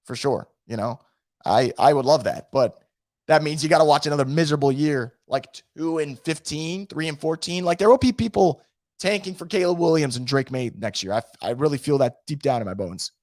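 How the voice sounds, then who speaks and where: A man hosting a sports recap stream, hoarse and losing his voice from feeling sick, ranting and reading out chat comments. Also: a little high, a little fast, no echo, studio quality. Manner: animated, frustrated, swearing. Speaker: sports podcast host.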